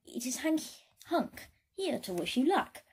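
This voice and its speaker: A woman's voice: high-pitched, sing-song, a little slow, a little mellow, voice pushed up, heavily acted. A woman playing a sly villain posing as a pop heartthrob in a children's story, coaxing in a singsong way.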